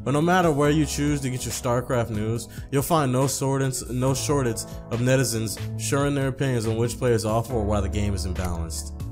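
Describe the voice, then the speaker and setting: A man's voice: medium pitch, brisk, over music. A man hosting a gaming video, reading story text aloud in an informal, slangy way with casual commentary. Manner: slangy, informal, repeats phrases when misreading.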